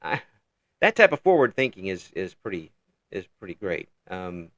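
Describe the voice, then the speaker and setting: Guy hosting a chat, bouncy lively voice, laughs a lot, kinda muffled lo-fi sound. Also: low-pitched, no room sound. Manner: agreeable, laughs often, halting restarts. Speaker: interview host. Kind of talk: casual conversation. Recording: dull lo-fi call audio.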